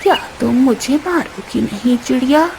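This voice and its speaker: A woman voicing an elderly, sly, predatory female eagle in a children's cartoon, with a drawn-out delivery. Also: high-pitched, expressive, vocal fry, darkish tone, slight background noise, poor audio. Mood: challenging.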